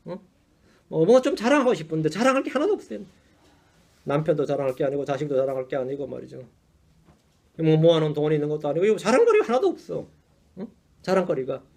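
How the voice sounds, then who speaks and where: A male pastor preaches a Sunday sermon with a rather high voice that leaps up and down in pitch. He talks to the congregation in a chatty, self-deprecating way and keeps checking in with quick rhetorical asides. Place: church sanctuary.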